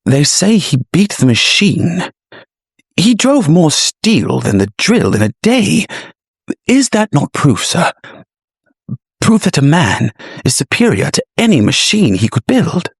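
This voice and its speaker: A man's voice: fairly high pitch, wildly swooping pitch, slow, breathy edge, dry recording, studio quality, pitched above the performer's own voice, full character voice. A man voicing an earnest, respectful young male apprentice with a polite, questioning manner in an audio drama. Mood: challenging, earnest.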